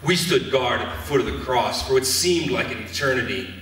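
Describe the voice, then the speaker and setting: A man's voice: medium pitch, fairly quick, sharp tone, lightly acted. Man playing shaken Roman officer in an echoey hall. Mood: grave, awed. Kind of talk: church stage Easter drama monologue. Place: church hall stage performance. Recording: echoey hall, distant mic, faint music.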